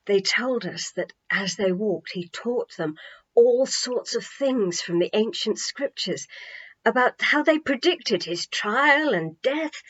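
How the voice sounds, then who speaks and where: Storybook role: eager, confident man disciple, read by a woman, animated explainer, slightly dull recording. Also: a little high, husky, full character voice.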